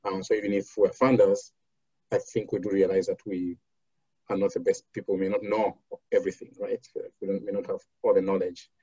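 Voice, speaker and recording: Husky man from zambia, lots of ums, dry muffled sound. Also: sits low, level tone, dark tone, dry recording. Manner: measured, with many fillers and restarts. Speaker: foundation program officer. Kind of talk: interview answers.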